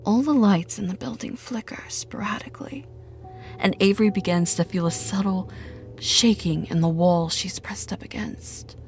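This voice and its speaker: A woman narrating a scripted horror story with a measured, dramatic delivery. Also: mid-range voice, in a whisper. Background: music.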